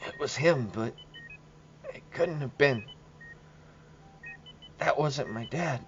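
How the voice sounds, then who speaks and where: A man's voice: mid-range voice, pitched above the performer's own voice. Guy doing a scared little boy for a horror podcast, weak n stammery, bit muffled w faint noise. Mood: shaken, frightened. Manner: halting, stammering. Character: traumatized, weak young boy, frightened and bewildered. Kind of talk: audio horror drama.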